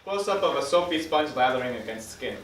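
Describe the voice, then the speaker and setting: A man narrates stage directions from a script at a quick clip. His pitch is middling, and the room rings around him as the mic picks him up from a distance. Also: lively intonation, a bit bright. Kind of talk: scripted narration at a table read. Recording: echoey room, distant mic, faint noise.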